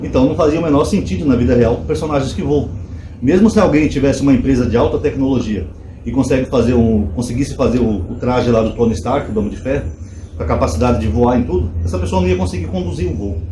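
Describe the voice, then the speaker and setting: A man's voice: mid-range voice, quick pace, reverberant, background noise. A man, chatting casually to his viewers and explaining his points with rhetorical questions. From Brazil. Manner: conversational, animated asides with rhetorical questions. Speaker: channel host.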